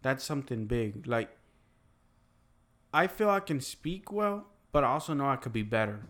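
A man whose first language is Spanish, chatting casually with friends, rambling with halting restarts and casual swearing. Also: mid-range voice, sing-song, a bit bright. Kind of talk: casual chat.

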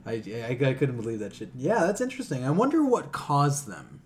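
A man's voice: mid-range voice, huge pitch swings, fairly quick. A man co-hosting a podcast with blunt, teasing, opinionated banter and plenty of casual swearing. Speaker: podcast co-host.

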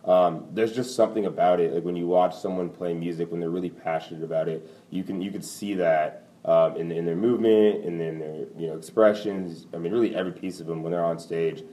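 A young man with a deep baritone voice, talking casually as a podcast guest, rambling with many fillers. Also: steady tone, fairly quick, hardly any pauses, dark tone, far from the mic, slight background noise. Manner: rambling, many fillers and "you know", laughs easily. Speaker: lounge owner, guest.

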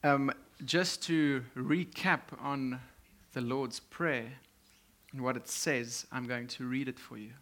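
Slow man preaching in short phrases. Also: mid-range voice, fairly even intonation, a bit bright. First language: Afrikaans. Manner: speaks in short phrases, pausing for translation. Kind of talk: sermon with live interpreter.

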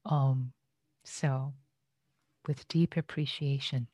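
Brief: woman from Iran, Buddhist teacher, halting, gentle pacing, deep and slow. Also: fairly even intonation, no room sound. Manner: slow, hesitant, many fillers and restarts. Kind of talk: dharma talk. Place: home, online session.

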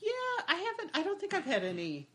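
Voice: very high voice, wildly swooping pitch, fast, pushed and bright. A podcast host from Michigan who chats casually, listens attentively with short affirmations and adds dry humor. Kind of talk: casual chat.

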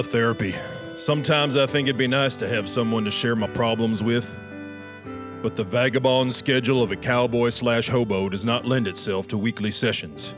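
A man's voice: mid-range voice, close to an everyday voice. Folksy cowboy drawl over guitar music, acted by a man. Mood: wry. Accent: American cowboy drawl. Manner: rambling, deadpan. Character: folksy old drifting cowboy storyteller, wry and rambling. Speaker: host of a comedy sketch show. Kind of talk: folksy comic monologue linking sketches. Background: guitar and harmonica music.

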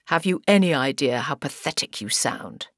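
A woman's voice: deep voice, expressive, moderate pace, no echo, studio quality, voice pushed down. A woman voicing a menacing male killer in an audiobook, scornful and cold. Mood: sneering contempt.

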